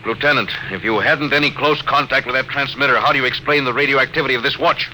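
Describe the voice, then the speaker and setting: A noisy vintage radio recording of a man acting as a spaceship captain. He is stern, suspicious and commanding, with a mid-pitched voice and the theatrical delivery of old science fiction radio. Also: fairly even intonation, fast, lightly acted. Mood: stern, probing. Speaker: actor in a radio drama. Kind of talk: classic radio sci-fi drama acting.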